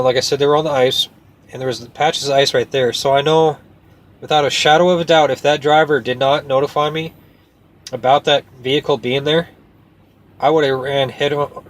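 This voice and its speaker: A man who works as a truck driver tells a story casually, in a folksy, rambling and earnest way. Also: mid-range voice. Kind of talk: casual first-person storytelling to camera.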